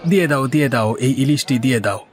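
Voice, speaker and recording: A man's voice: medium pitch, lively intonation, racing, slight background noise, close to an everyday voice. A man voicing an easygoing, food-loving young man in a cartoon folktale. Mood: businesslike. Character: easygoing young man who loves his aunt's cooking. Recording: music bed under the voice.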